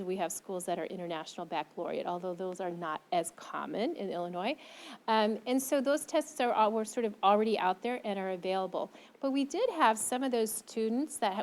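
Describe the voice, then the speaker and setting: A middle-aged woman presenter answering audience questions at a conference, explaining earnestly with frequent ums and restarts, into a handheld mic. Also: medium pitch. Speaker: invited presenter, language teacher. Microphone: handheld microphone.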